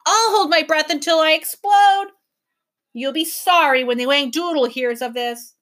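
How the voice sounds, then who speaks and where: A woman voicing a mob of spiteful swamp creatures that taunt and bawl loudly, reading a storybook aloud. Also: sits high, moderate pace, pitched above the performer's own voice. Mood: wailing. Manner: taunting, bawling, whiny.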